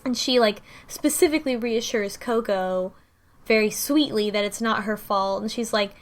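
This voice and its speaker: A woman's voice: medium pitch, moderate pace. A woman podcast host casually recapping a story in a loose, conversational way, as if thinking aloud. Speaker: book club podcast host.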